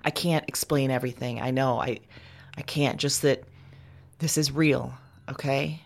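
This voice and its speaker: A woman acting in an audio drama monologue as a weary, urgent woman, speaking in a halting, repetitive, intense way. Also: rumbling low, fairly even intonation, vocal fry, background noise, full character voice. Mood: urgent, earnest. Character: weary, urgent woman speaking across time to other women. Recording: ambient music bed under the voice. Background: music.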